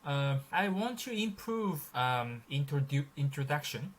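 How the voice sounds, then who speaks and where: A man in his thirties with a Japanese accent, a halting English learner in an online lesson, pausing often with fillers. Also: fairly high pitch, lively intonation. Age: 30s. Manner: hesitant, halting, frequent fillers.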